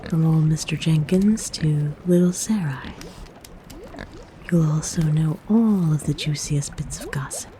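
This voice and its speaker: Woman acting as a kind forest witch, unhurried breathy whisper, mellow low tone, motherly fussing, close-mic ASMR roleplay. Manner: gentle, soothing, coaxing, unhurried. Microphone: close microphone.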